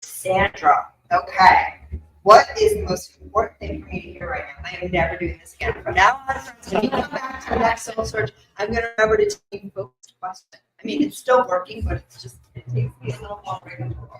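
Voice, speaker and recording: A woman's voice: mid-range voice, very animated, a little fast, a crowd in the background. A woman giving intuitive readings to a live audience, chatty and rambling, with many fillers and asides. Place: event room with an audience. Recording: echoey room.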